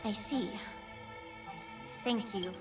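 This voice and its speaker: A woman's voice: mid-range voice, very slow. A woman voicing a poised young healer in a video game, polite and teasing with a composed, articulate delivery. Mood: composed, pointed. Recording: distant game audio with loud music.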